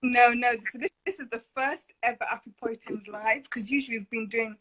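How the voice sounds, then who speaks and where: A woman's voice: fairly high pitch, fairly even intonation, a little fast, fairly bright tone, breathy edge, poor audio. A woman hosting a live video interview, speaking in a friendly way with ums and you-knows. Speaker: podcast host.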